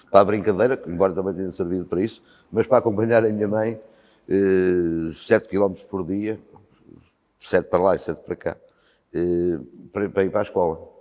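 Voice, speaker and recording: A man's voice: sits low, mellow. A man in his sixties with a European Portuguese accent, a volunteer fire commander answering interview questions thoughtfully, with frequent hesitations. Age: 60s. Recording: long hall reverb with faint music.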